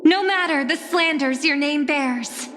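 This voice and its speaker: An actress playing a solemn young stage performer, speaking formally and earnestly in a dramatic game style. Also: female voice, sits high, a little slow, background noise. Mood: solemn, tender. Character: solemn young female stage performer freeing a fallen idol. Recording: music behind the voice.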